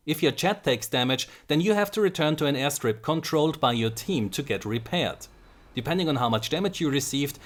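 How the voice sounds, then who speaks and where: A man hosts his own gaming channel and reads a prepared script on game design. His voice sits in a middle range. He explains each point in turn, steady and methodical. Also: mid-range voice. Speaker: gaming video host.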